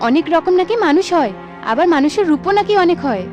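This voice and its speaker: A woman voicing a gentle, earnest young sea maiden in a fairy-tale cartoon. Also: high voice, lively intonation, fast talker, muffled recording. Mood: hurt. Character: gentle young female sea being, pearl-bearing, loyal friend. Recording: faint music under the voice.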